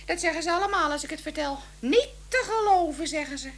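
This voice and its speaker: An actress playing a chatty, scornful, neglected wife in a comic radio play, colloquial and sarcastic, often laughing. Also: female voice, high-pitched, expressive, quick pace, fairly bright tone. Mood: mocking.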